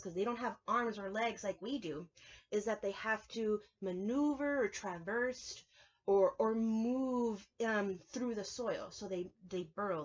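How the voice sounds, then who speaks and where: A woman's voice: mid-range voice, lo-fi sound. A woman preschool teacher giving a lesson to young children, explaining things simply and step by step.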